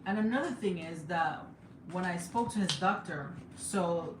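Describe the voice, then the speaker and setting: A young woman with a Jamaican accent talks casually to her stream viewers, her voice sitting a little low. She laughs easily and sometimes jumps into high, excited exclamations. The sound is echoey, like a bare room at home, with clear background noise underneath. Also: measured pace. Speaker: livestreamer and mother. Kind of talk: casual live chat.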